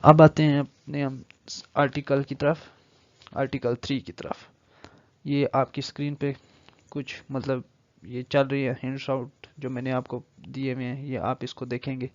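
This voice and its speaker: A Pakistani man teaches law at a very slow pace, moving between Urdu and English. He reads formal text aloud and then explains it. The sound is dry and dull, like a basic lo-fi microphone. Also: mid-range voice, little pitch movement, no echo. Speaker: law lecturer. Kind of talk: online lecture, reading out legal text and explaining it.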